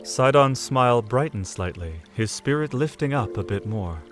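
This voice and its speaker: A man narrating an audio drama in a measured storytelling manner. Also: mid-range voice, expressive, faint music behind. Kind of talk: scripted narration.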